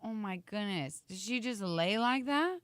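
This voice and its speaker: A raspy-voiced woman with a Midwestern American accent, co-hosting a casual morning show over a video call and teasing her partner. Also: medium pitch, unhurried, dry recording, studio quality. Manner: teasing, chatty, animated with guests. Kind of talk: casual chat.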